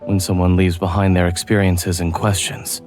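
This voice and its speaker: Man acting as reserved male doctor, low pitch, calm, formal measured delivery, scholarly, game fan dub, soft music behind. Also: lightly acted. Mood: calm, aloof. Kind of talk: scripted fan dub acting with ASMR leanings.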